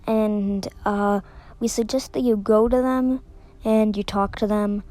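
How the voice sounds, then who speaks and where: A woman playing a hesitant emergency announcer in an amateur mock broadcast, halting and repeating herself. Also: mid-range voice, steady tone, warm tone, natural voice. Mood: halting, reassuring. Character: hesitant female emergency announcer issuing strange reassurances.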